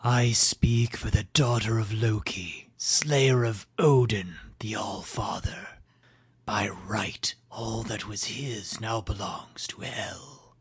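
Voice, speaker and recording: A man voicing a sinister hooded messenger of a death goddess in an audio drama, with a slow, menacing, breathy whisper. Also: lowish voice, steady tone, no room sound, pitched above the performer's own voice, full character voice.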